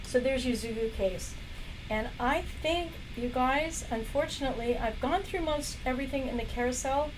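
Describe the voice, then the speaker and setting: A woman hosting a casual product demo live stream. She is losing her voice and keeps coughing and clearing her throat between chatty remarks. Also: high-pitched, normal speed, noisy background. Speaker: live shopping stream host. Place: indoor workplace studio.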